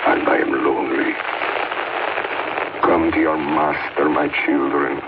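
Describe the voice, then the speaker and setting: An actor playing an ancient, commanding, seductive vampire lord in a solemn, incantatory 1930s radio horror style. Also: male voice, extremely low pitch. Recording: muffled, very noisy 1938 radio broadcast, distant.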